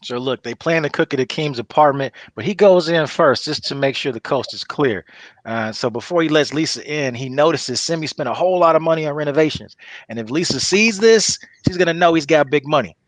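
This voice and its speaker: A man's voice: on the high side, expressive, fairly quick, no room sound. A man narrating the stage directions of a comedy table read, reading scripted lines with casual asides.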